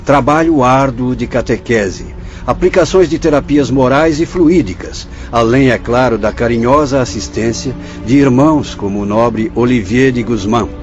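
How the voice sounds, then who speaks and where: A Brazilian man acting in a radio drama as a solemn, fatherly spirit doctor who guides visitors through a hospital, speaking formally and didactically in measured phrases. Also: medium pitch, faint music behind, natural voice. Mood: grave, instructive. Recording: dull lo-fi audio, faint noise.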